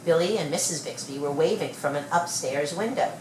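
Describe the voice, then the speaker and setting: Low-voiced woman reading a storybook, roomy. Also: brisk, darkish tone. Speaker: storybook reader. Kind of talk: read-aloud children's story narration. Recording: roomy, distant mic, faint noise.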